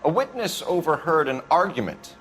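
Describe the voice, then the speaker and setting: An actor playing a formal, clipped 1940s police detective questioning witnesses in a game cutscene. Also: male voice, on the high side, big pitch swings, moderate pace, fairly bright tone, faint music behind. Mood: tense. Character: stiff, earnest male 1940s police detective. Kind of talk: video game cutscene acting.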